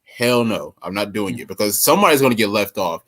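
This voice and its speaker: A man's voice: on the low side, animated, brisk, fairly bright tone. A man in his twenties chatting casually and rambling about basketball, laughing often. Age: 20s. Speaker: podcast co-host. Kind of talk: casual sports chat.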